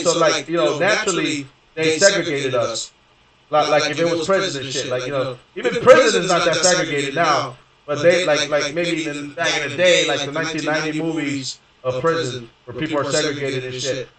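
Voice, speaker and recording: A young man from Houston, Texas, telling a long story in rambling, profane casual talk full of fillers and restarts. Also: on the high side. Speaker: gaming streamer. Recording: very echoey room, distant from mic.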